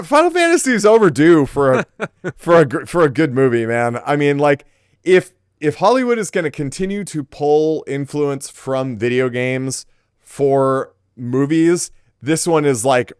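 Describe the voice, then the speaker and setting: Man, podcast co-host, smooth clear mid-pitched voice, dry close sound, enthusiastic, informal casual chat. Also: no room sound.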